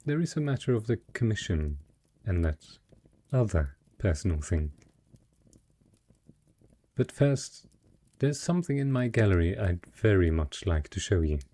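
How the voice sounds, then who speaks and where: Fantasy audio roleplay: male actor as a wealthy dragon in human guise, possessive and courtly, low-pitched, dark mellow tone, eloquent. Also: sing-song, a little slow, pauses often. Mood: sheepish, courteous. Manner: refined, eloquent, effusive. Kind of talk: romantic fantasy audio roleplay addressed to the listener.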